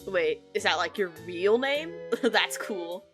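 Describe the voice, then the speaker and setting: A friendly young woman at a convention in a comic dub, played by an actress. She teases casually in a mid-pitched voice, over quiet music. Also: expressive, slow. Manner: casual, teasing. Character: friendly teasing young woman convention cosplayer, twin sister. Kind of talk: fan comic dub dialogue.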